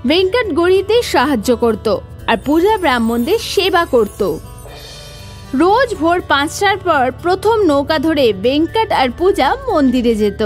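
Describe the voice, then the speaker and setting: High-pitched, lively woman storyteller. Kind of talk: scripted children's story narration.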